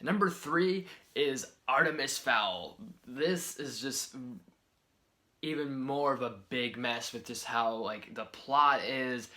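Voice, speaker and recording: A man giving casual film-ranking commentary in a soft, near-whispered delivery, rambling and exasperated with many fillers. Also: mid-range voice, slow pace. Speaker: movie reviewer.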